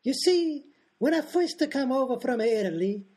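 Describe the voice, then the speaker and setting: A man playing a pompous, vain Italian high diver in a radio comedy, self-important and husky. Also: expressive, moderate pace, noisy background. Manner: self-important, grandly correcting others. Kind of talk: old-time radio comedy acting.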